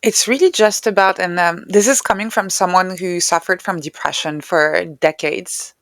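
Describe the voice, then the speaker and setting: Low-pitched woman, dry close sound. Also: moderate pace, dry recording. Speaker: interview guest, neuroscientist and author. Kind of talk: reflective conversational explanation.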